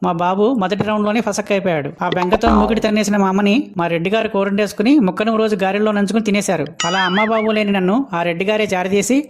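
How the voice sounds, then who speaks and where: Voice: mid-range voice, fairly even intonation, rapid-fire, hardly any pauses, lightly acted. An actor voicing a cocky, chatty young rooster who hosts festival interviews in a comic Telugu cartoon, boastful and slangy.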